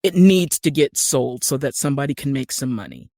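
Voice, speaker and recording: A woman's voice: a bass voice, expressive, fairly quick, dark tone, dry recording, clean studio sound. An American woman speaking as a podcast guest in a casual interview, emphatic and wry. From Utah, United States. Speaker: guest actor and screenwriter.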